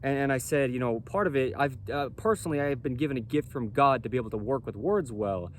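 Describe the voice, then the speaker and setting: A man with a boomy, projecting voice, a clothing company founder explaining in an emphatic monologue that keeps checking in with "right?" and "okay?". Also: fast, slight background noise. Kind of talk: self-recorded explainer monologue.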